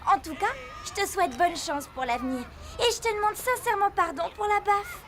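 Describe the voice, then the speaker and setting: A woman's voice: very high voice, brisk, noisy background. A woman voicing an earnest, theatrical little schoolgirl in a French cartoon. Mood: earnest, concerned. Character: dramatic, earnest little schoolgirl with a sharp tongue. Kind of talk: French animated cartoon voice acting. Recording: music behind the voice.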